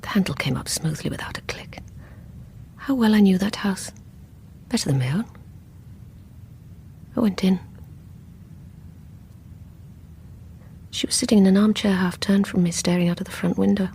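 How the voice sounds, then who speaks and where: A woman's voice: mid-range voice, brisk, vocal fry, pauses often, darkish tone, lightly acted. Haunted, unstable woman played by an actress for radio drama: bitter, intense, brooding, on a slightly noisy old recording. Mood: menacing, hushed. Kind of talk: radio play acting, dramatic monologue.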